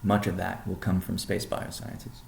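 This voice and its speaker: A man gives a prepared corporate update in measured phrases. His voice is dark and relaxed, with a heavy gravelly creak. The sound is noticeably roomy and echoing, and he lands key words deliberately. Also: deep voice. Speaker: company CEO presenting. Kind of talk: prepared business presentation on a conference call.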